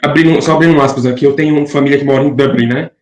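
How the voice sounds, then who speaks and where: Man host asking questions on a call, mid-pitched, halting, roomy echo. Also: steady tone. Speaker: interviewer. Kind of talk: casual interview questions.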